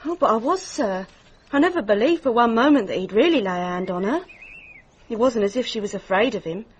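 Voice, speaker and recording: An actress playing a young, plain-spoken housemaid in a radio drama, deferential in manner. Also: female voice, on the high side, animated, brisk, breathy edge. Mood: respectful, frank.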